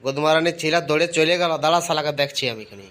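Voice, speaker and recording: A man's voice: fairly high pitch, very fast, fairly bright tone, clean tone, natural voice. A man voicing an angry, threatening father in an amateur comedy cartoon, scolding in a crude, blustering way. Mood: furious. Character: angry, threatening father chasing his wayward son.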